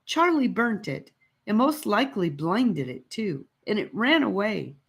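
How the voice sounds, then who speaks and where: A woman's voice: mid-range voice, very animated, measured pace, creaky, a bit bright, husky, pitched above the performer's own voice, full character voice. A woman voicing an anxious young girl adventurer in an audiobook, pleading and emotional. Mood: urgent, insistent.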